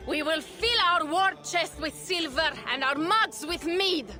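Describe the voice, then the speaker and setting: A woman's voice: extremely high pitch, a little slow, loud music behind the voice. An actress playing a bold, eager young Viking raider woman in a video game. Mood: rowdy, boastful. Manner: bold, boisterous.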